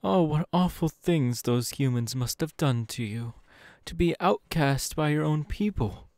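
A man voicing a suave, falsely sympathetic demon in a theatrical ASMR roleplay, smug and genteel with drawn-out pauses. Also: on the high side, lively intonation, darkish tone, dry recording, clean studio sound. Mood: mock pity.

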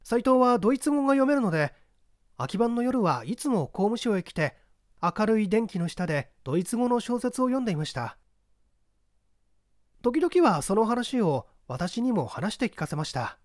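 A dutiful clerk, played by a male reader, testifies politely in a high, light voice. The earnest audiobook delivery swings in pitch and sounds close and dry. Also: big pitch swings, moderate pace, no echo. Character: dutiful night-duty office clerk testifying plainly. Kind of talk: dramatic audiobook reading.

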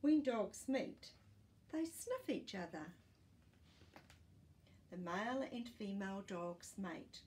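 A woman in her sixties reading a children's picture book aloud in an expressive, teacherly way, as if to young listeners. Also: medium pitch, a little hiss or hum. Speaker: children's storytime reader. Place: small furnished living room, indoors.

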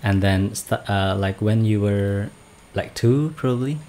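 A man's voice: on the low side, a little slow, a little mellow. A middle-aged man with an Indonesian accent hosting a casual podcast chat with his daughter, laughing often and restarting phrases. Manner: chatty, laughs often, many fillers and restarts. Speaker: podcast host. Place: small home studio lined with bookshelves. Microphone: studio mic on boom arm, wearing headphones.

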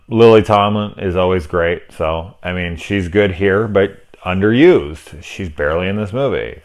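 A man in his thirties with a low voice reviews a horror film straight to the camera from a small room lined with movie shelves. He talks loosely and candidly, swearing freely and restarting his sentences. The delivery is unscripted and conversational. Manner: candid and profane, with frequent fillers and restarts. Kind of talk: casual spoken film review.

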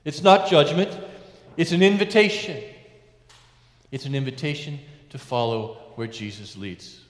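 A middle-aged man, a priest, preaching a sermon from a lectern in a large church, measured with emphatic rises and hushed endings. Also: on the high side. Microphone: lectern mic, far camera. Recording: echoey church with long reverb.

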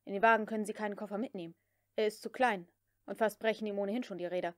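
A woman voicing a cool, mocking gentleman who takes command, as part of an audiobook reading. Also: mid-range voice, steady tone, very fast, no room sound, clean studio sound, natural voice. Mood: brisk, commanding. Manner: sardonic, crisp, commanding. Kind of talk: dramatic audiobook character reading.

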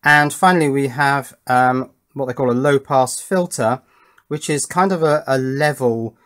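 Man explaining at his workbench, unhurried. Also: mid-range voice, fairly bright tone. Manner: unhurried, explanatory, thinking aloud. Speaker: electronics hobbyist presenter. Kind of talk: informal hands-on project demonstration.

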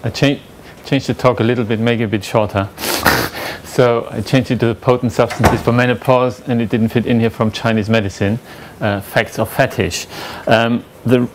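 A man's voice: mid-range voice, steady tone, moderate pace, background noise. A man with a German accent giving an academic lecture at a lectern in a lecture room, talking conversationally and questioning the audience. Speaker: conference speaker.

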